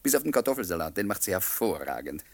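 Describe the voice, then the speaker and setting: A man's voice: low-pitched, sing-song, fast, vocal fry, no echo, heavily acted. An actor playing a genial writer in his late twenties, narrating a radio drama and courteously confiding in his listeners. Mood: wry, genial. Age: 20s. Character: chatty, genial 29-year-old writer showing visitors around.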